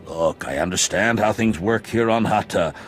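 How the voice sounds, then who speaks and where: An actor playing a pompous, blustering, self-important corporate administrator in a video game. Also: male voice, fairly low pitch, normal speed, faint music behind, close to an everyday voice. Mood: indignant.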